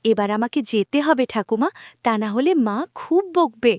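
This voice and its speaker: A woman's voice: on the high side, animated, normal speed, a little mellow, dry recording, natural voice. A woman voicing a sweet, put-upon and dutiful young village girl in a cartoon, earnest and polite. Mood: helpful. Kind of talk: cartoon voice acting.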